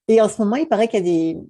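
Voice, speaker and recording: Earnest French woman with a very mellow voice. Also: mid-range voice. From France. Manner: earnest, talkative, many fillers. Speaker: host. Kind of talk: casual conversation.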